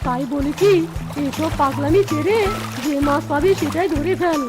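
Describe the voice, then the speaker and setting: A woman's voice: sits high, normal speed, close to an everyday voice. A woman voicing a practical, chiding friend of a fox in a children's cartoon, giving advice. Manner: chiding, advising. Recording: music and splashing water behind.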